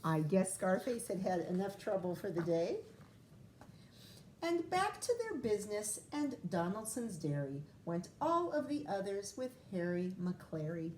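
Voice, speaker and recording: Woman, first grade teacher, read-aloud, unhurried, asks questions, explains words, faint background hum. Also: medium pitch, very animated, darkish tone. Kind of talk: reading a picture book aloud to children. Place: classroom or home, recorded for video.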